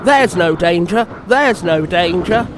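A man voicing a cheeky, excitable young male tank engine in a children's story. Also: high voice, slow, a little hiss or hum. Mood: gleeful, defiant.

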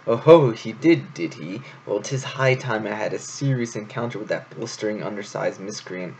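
A man's voice: on the low side, moderate pace, vocal fry, a little mellow, slight background noise, poor audio. A man voicing a blustering, pompous male hare who leads a troupe, scolding theatrically. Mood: indignant.

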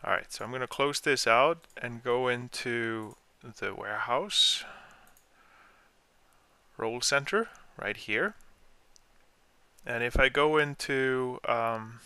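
A man explaining software step by step in an informal screen-share tutorial, as the trainer. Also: medium pitch, smooth, dry recording.

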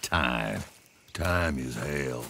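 An actor playing a ruined, self-pitying old gentleman from the American South, lamenting theatrically in a video game. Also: extremely low pitch, lively intonation, drawn-out words, vocal fry, a little hiss or hum, exaggerated voice. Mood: dejected. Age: older. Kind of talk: video game cutscene acting.

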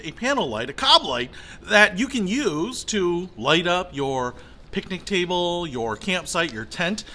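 A man's voice: high-pitched, sharp tone. A middle-aged American man giving a casual, chatty, enthusiastic product demonstration. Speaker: product review host.

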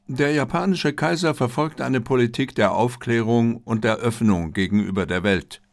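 A man voicing a formal, discreet Japanese diplomat in an audiobook, with courteous, measured wording. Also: mid-range voice, no echo, pitched above the performer's own voice, close to an everyday voice. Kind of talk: audiobook character dialogue.

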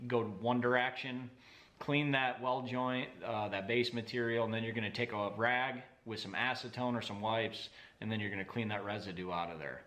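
Male, 30s, workshop instructor. Informal, practical delivery, bright and punchy tone. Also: mid-range voice, steady tone, moderate pace. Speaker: welding instructor, video host.

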